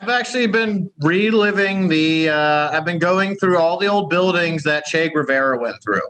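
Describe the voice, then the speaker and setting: A man speaks in a hammy put-on Italian accent that keeps slipping into his own voice. His tone is bright and forceful and sits a little high. He is improvising a comedy travel report over a video call. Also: lively intonation, normal speed, a little hiss or hum. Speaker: comedy correspondent.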